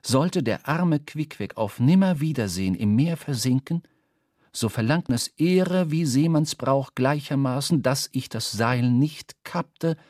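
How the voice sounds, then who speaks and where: A man narrating a literary radio drama from a script, storytelling in measured, formal diction. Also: mid-range voice, expressive, darkish tone, no room sound, studio quality. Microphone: close studio microphone.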